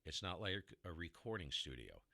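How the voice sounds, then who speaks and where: A man with a very low baritone voice hosting a casual ham radio tutorial, rambling and sidetracking as he explains, recorded in a heavily dampened room. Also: very animated, moderate pace, vocal fry, sharp tone, no echo. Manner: rambling, self-interrupting explainer with mic tests. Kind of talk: casual how-to demonstration.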